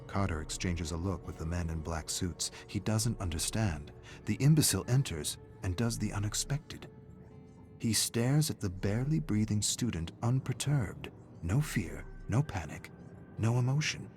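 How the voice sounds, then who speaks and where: Low-voiced man narrating a dark story. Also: lively intonation, measured pace, soft background music.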